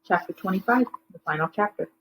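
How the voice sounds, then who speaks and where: A woman's voice: medium pitch, expressive, a little fast, a bit bright, a little hiss or hum, poor audio. Woman reading a children's novel aloud. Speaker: teacher reading a book aloud.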